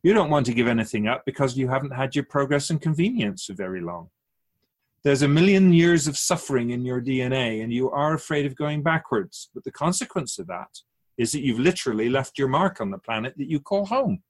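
A man playing a wise otherworldly keeper of souls in a radio drama, measured and didactic, with gentle, wry teasing. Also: mid-range voice, animated, close to an everyday voice. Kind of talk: conversational radio drama acting.

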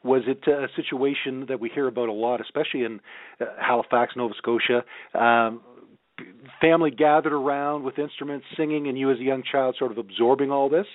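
A man's voice: mid-range voice, fairly even intonation, brisk. A man hosting a radio show and interviewing a guest. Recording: thin phone-like band, roomy echo.

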